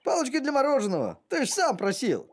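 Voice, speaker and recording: A man voicing a good-natured, hapless talking dog in an animated cartoon, with an expressive, plaintive delivery. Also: very high voice, quick pace, exaggerated voice. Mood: puzzled, defensive.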